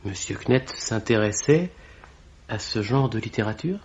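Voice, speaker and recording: An actor playing a young amateur investigator in a French radio mystery, putting questions in a measured, insistent way. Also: male voice, on the low side, lively intonation, darkish tone, a little hiss or hum. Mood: probing. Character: young amateur investigator, probing and level-headed. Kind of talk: French 1950s-style radio mystery acting. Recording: dull vintage radio-drama recording.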